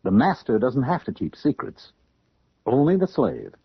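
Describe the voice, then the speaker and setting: On a muffled, dry 1970s radio drama recording, a young American man in torment and servitude. His lowish, badly roughened voice is earnest and halting, like a confession. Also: huge pitch swings, no echo, exaggerated voice. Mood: anguished, bitter.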